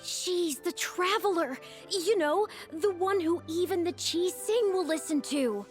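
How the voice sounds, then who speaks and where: A woman voicing an earnest, troubled female character in a fantasy video game, with expressive delivery. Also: very high-pitched, unhurried, faint music behind. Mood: realizing.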